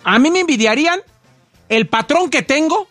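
A male co-host speaks in a very high, swooping voice as he reads out listener messages. The banter is casual, and the sound is close and dry over faint music. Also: expressive, normal speed, sharp tone, no room sound. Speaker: radio co-host.

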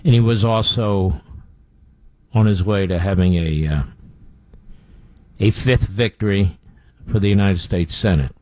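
A man's voice: low-pitched, measured pace, pauses often, dark tone, dry recording. A man hosting a talk radio show, giving blunt, scornful commentary with frequent fillers.

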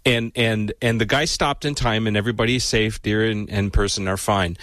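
A man's voice: on the low side, moderate pace, no echo, clean studio sound. A man who writes a column, chatting on a morning radio show and telling stories with many restarts. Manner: lively storytelling with restarts. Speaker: guest columnist. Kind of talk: casual radio chat.

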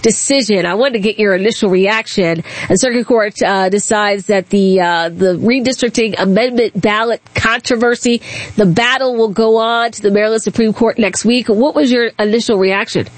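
A woman's voice: mid-range voice, moderate pace, no echo. Chatty woman hosting talk radio, dry sound. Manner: chatty, many fillers and restarts. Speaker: radio talk show host. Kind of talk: casual political talk radio.

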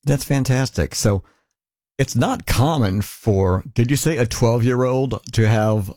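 A man hosting a faith-based radio interview program, introducing his guest and asking questions in a smooth announcer style. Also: mid-range voice, a little slow, darkish tone, dry recording, clean studio sound. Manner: smooth announcer delivery, brief scripted intros. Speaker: radio show host, interviewer.

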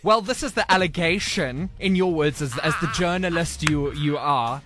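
A man hosting a radio show, chatting casually with a co-host, often laughing and pausing with ums. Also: fairly high pitch.

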